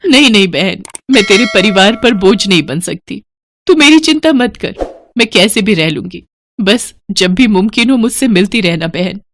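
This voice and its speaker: A woman voicing a humble, self-respecting young woman in a cartoon story, speaking earnestly and gently. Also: medium pitch, lively intonation, normal speed, a little hiss or hum, lightly acted. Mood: earnest refusal. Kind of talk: Hindi cartoon story dialogue.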